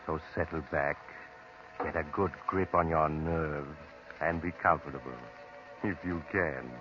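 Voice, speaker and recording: An actor playing a grave, eerie storyteller, narrating 1940s radio horror in an ominous, deliberate, dramatic way on a dull, noisy old recording. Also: male voice, a bass voice, fairly even intonation, over music. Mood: sinister, inviting.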